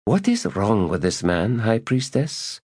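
A man's voice: fairly low pitch, sing-song, unhurried, darkish tone, husky, no room sound, studio quality, pitched above the performer's own voice, exaggerated voice. A male narrator voicing an elegant, disdainful noblewoman with a languid, weary manner, in an audiobook. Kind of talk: audiobook character voice.

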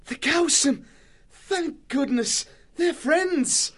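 A man's voice: high-pitched, lively intonation, moderate pace, pitched above the performer's own voice. A man voicing a brave young male mouse warrior who talks earnestly and takes charge. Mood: shouting. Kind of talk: audiobook character voice.

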